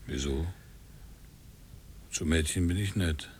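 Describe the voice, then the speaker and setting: Radio drama role: bottom-deep, feeble sailor, gasping yet stubborn, slight noise around him. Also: male voice, takes it slowly, a little mellow. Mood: weary, defiant. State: weak, gasping, failing.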